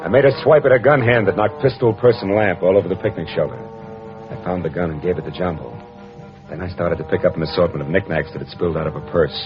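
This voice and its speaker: An actor with an American accent playing a tough, wisecracking private detective in a vintage radio drama, talking in sardonic, cynical slang. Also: male voice, deep voice, fast talker, natural voice. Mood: tense narration. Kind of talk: hardboiled 1940s-50s radio detective acting and narration. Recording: muffled vintage radio recording, music underneath.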